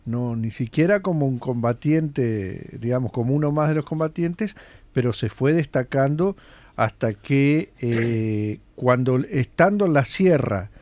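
A man's voice: mid-range voice, lively intonation, darkish tone, no room sound. A man hosting a radio show, giving a conversational commentary with frequent fillers and restarts. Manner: conversational, halting, many fillers. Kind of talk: casual spoken commentary.

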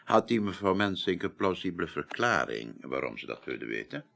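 A genial senior official in a radio play. His low, creaky voice swoops expressively, with a familiar, avuncular way of exclaiming. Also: big pitch swings, normal speed, fairly bright tone, heavily acted. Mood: genial, probing. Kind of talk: Dutch radio drama acting.

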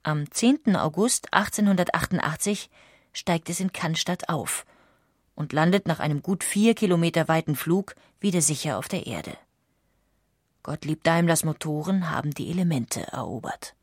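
A woman with a husky, somewhat low voice narrates a nonfiction history. She reads calmly and evenly, like a documentary voice-over. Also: moderate pace, dry recording, studio quality. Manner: calm, even-paced reading. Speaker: audiobook narrator.

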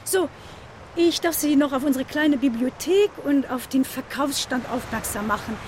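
Actress as female tour guide, higher-pitched, informal, anecdotal, radio drama, faint background noise. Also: moderate pace, natural voice. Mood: informative, polite. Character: chatty, informal female tour guide at a seaside visitor site. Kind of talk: casual guided-tour talk.

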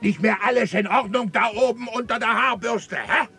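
A man's voice: very high-pitched, moderate pace, gravelly, bright, forceful tone, husky, full character voice. An actor in a radio drama playing a sneering, authoritarian colonial military commandant who barks and mocks as he interrogates. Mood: scornful, irate. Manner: barking, mocking, interrogating.

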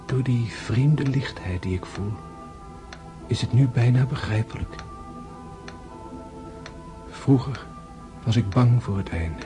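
An actor playing a dying wounded man in a radio drama, calm and detached, speaking in a hushed, halting, meditative way. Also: medium pitch, music in the background, lightly acted. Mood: serene, musing. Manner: slow, hushed, pensive, halting.

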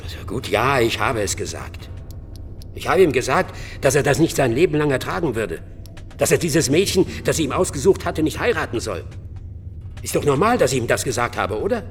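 A man's voice: mid-range voice, big pitch swings, a little fast, big-room sound, soft background music, lightly acted. An actor playing a defensive, bitter middle-aged bar owner in a German crime radio play, evasive and coarse as he justifies himself under questioning. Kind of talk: German radio drama acting.

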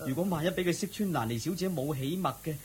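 A man's voice: a little high, lively intonation, fast talker, lightly acted. A man playing an eager, deferential go-between in a Cantonese radio drama, busy in manner, with a Hong Kong accent. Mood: breathless reporting. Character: eager, fussy servant go-between called Ah Yau.

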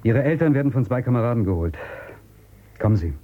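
A man playing a steady, protective guide in a radio drama. His mid-pitched voice is polite and reassuring, with a dull, muffled recording. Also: fast, natural voice. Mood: polite, serious. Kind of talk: German radio drama acting.

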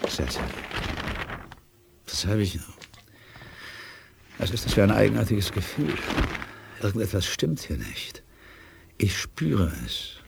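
A stern, low-voiced commanding colonel. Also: male voice, very animated, takes it slowly, creaky, pauses often, exaggerated voice. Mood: uneasy. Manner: clipped, commanding. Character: stern, suspicious male colonel heading a secret service. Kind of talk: German audio drama acting. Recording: noisy 1980s audio drama recording.

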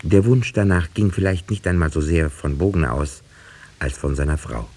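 A man's voice: very deep voice, normal speed, darkish tone, breathy edge, heavily acted. A man playing a wry, sceptical village schoolteacher who tells the tale in a 1950s radio play, reflective and dryly ironic. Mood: wry, storytelling.

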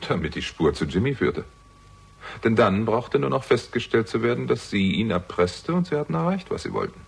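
An actor playing a shrewd young amateur sleuth in a German radio crime drama, husky-voiced, with a pointed, probing and wry manner. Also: male voice, lowish voice, wildly swooping pitch, normal speed, exaggerated voice. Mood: accusing, animated. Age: young adult.

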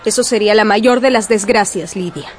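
A woman playing a proud, outspoken young gentlewoman with a teasing edge in a radio drama. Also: mid-range voice, brisk, some chatter behind, slight background noise, lightly acted. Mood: flustered, protesting.

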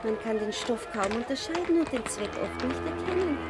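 A woman's voice: high-pitched, lively intonation, fairly quick, over loud music. A woman acting in a German radio play as a curious, gracious young princess with a refined, eager manner. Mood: delighted, musing.

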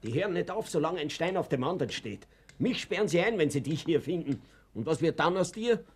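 A man's voice: a little high, animated, a little fast, breathy edge. An actor with an Austrian accent playing an anxious, guilt-ridden middle-aged father and reluctant party member in a radio drama, speaking in a hesitant, colloquial way. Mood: anguished, insistent. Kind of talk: naturalistic radio drama acting.

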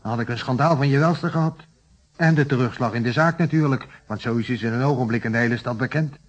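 Guy playing defensive cornered suspect in old radio drama, slightly husky, apologetic, dull muffled audio. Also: medium pitch, fast talker, natural voice. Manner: apologetic, self-justifying. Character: cornered, defensive male blackmail victim under questioning. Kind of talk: radio play acting. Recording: muffled vintage radio play recording.

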